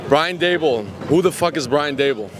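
A man's voice: a little high, lively intonation, normal speed, over music, a crowd in the background. A young man, a rival team's fan, gloating cockily in a street interview at night. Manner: cocky, gloating. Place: city street at night outdoors. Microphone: handheld mic. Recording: noisy city street.

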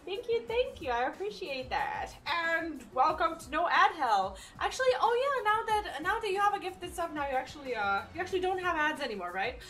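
Young Serbian woman streamer, bright and chatty, giggles often, talks to her dog between game lines. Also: very high-pitched, big pitch swings, brisk, few pauses, distant mic, soft background music. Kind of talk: casual live-stream chat while gaming. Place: home streaming room.

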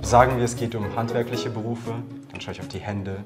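A man in his twenties from Ukraine, chatting casually as a contestant in a bright studio, earnest and reflective. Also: fairly low pitch, steady tone, brisk, music in the background. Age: 20s. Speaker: guessing contestant. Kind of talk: casual chat. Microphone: lapel mic.

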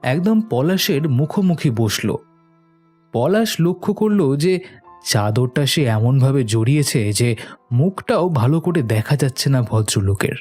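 A man's voice: medium pitch, animated, unhurried, mellow, slightly rough. A man narrating a scripted horror cartoon story in a dramatic storytelling style. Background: faint music.

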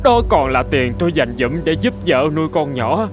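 An actor playing an anxious, distraught young working father in an animated fable, sounding close to tears. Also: fairly high pitch, moderate pace, music in the background. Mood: despairing.

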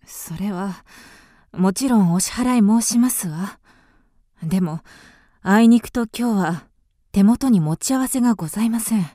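An actress playing a haughty, grieving widow with refined, formal speech in a radio drama. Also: female voice, medium pitch, measured pace, long pauses, mellow, no room sound, clean studio sound, close to an everyday voice. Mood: polite, reserved. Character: proud, grieving young widow, haughty and indignant.

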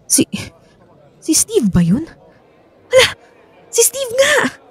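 A woman's voice: extremely high pitch, wildly swooping pitch, slow pace, exaggerated voice. A woman acting a young married woman in a Filipino radio drama, with a Filipino accent and an easily flustered, evasive manner.

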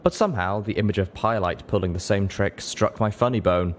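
A man's voice: fairly low pitch, a little fast, slight background noise. A man reading scripted story narration, in the manner of an audiobook. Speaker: audiobook-style reader, show host.

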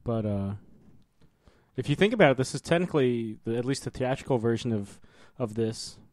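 Male co-host, mid-pitched, very dry close sound, casual and matter-of-fact movie commentary. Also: expressive, fairly quick, dry recording. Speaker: film commentary podcaster. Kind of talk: casual film commentary chat.

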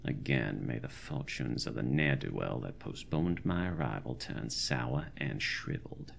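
A pompous old-time country doctor, acted by a man speaks in an extremely low, heavily creaking voice. His florid, wry diary delivery swoops expressively, made for a horror audio drama. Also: expressive, a little slow, slight background noise, heavily acted. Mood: irritated, wry.

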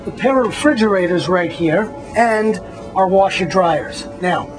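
A man's voice: high voice, animated, unhurried, over music. A man, a magazine editor, walking viewers through a boat and pointing out its features one by one in an upbeat tour narration.

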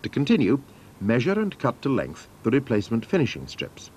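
A man's voice: medium pitch, wildly swooping pitch, fairly quick, darkish tone, a little hiss or hum. A man narrating scripted instructions for a training film in a formal, methodical manner.

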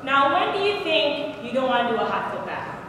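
Young woman, health demonstrator, high voice, loud, long hall reverb, distant from the camera. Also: sing-song, fairly quick, noisy background. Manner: projecting, quizzing the audience. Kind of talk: live hydrotherapy demonstration. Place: large church hall. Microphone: handheld microphone, far camera. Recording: very echoey hall, from far off.

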